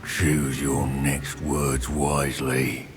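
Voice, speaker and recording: Brief: game villain, goblin rebel chief, extremely low voice, unhurried menace, loud score underneath. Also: male voice, little pitch movement, over music, heavily acted. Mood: cold threat. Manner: slow, deliberate, threatening. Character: menacing male goblin rebel leader, cold and mocking. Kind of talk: dramatic video game acting. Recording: loud cinematic score behind.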